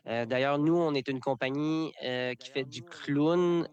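A man's voice: mid-range voice, a little slow. A man, a circus performer talking casually in a radio interview with many fillers and restarts. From Canada. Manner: relaxed, repeats words, many fillers.

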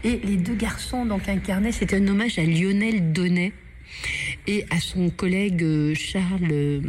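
A woman's voice: mid-range voice, measured pace, a little mellow, a little hiss or hum. A woman interviewing guests in a casual, chatty way, often trailing off mid-sentence. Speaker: radio interviewer.

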